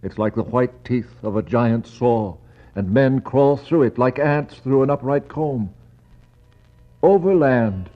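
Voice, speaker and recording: A man acting a brooding explorer in a 1960s radio play, speaking a poetic monologue in a measured, incantatory way with grim sympathy and weighty pauses. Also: medium pitch, close to an everyday voice. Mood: awed, intense. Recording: dull 1960s radio recording.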